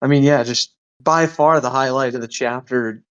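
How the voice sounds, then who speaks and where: A man's voice: medium pitch, normal speed, fairly bright tone, smooth, highs cut off. A man co-hosting a casual podcast, speaking in an agreeable, informal way. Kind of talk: casual chat.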